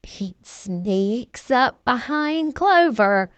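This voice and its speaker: Very slow, high-voiced woman reading a storybook. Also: dry recording. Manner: expressive, deliberate storytelling. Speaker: children's story reader. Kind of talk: scripted read-aloud storybook narration.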